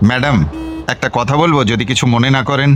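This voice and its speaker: A man's voice: medium pitch, fairly even intonation, fast talker, background noise, lightly acted. A man playing a respectful, curious chauffeur in an audio drama. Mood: deferential, curious. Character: respectful, curious male chauffeur of a lady doctor. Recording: car engine ambience behind.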